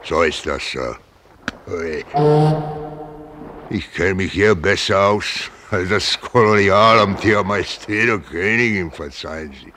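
A gruff old-school merchant sea captain grumbles and blusters in a very low, forceful voice. He is irritable and given to cursing, in radio drama style, with some boat and water noise around him. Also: animated, unhurried, exaggerated voice. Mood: irritated, indignant.

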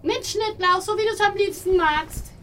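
A woman's voice: very high-pitched, normal speed, a bit bright. An actress playing a cheerful motherly woman who coaxes in a children's audio drama. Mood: cheerful urging.

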